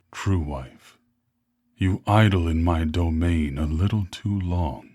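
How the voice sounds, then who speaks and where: A man playing a covetous demon lord of greed, a taunting, ominous tempter in an ASMR roleplay, with a very deep husky voice. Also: darkish tone, dry recording, studio quality, full character voice. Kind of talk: ASMR roleplay acting, theatrical villain.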